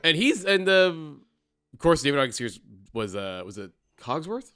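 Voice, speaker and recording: A man co-hosting a podcast, chatting playfully, teasing his guest and laughing quickly. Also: on the high side, big pitch swings, bright, forceful tone. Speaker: podcast host. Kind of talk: casual chat.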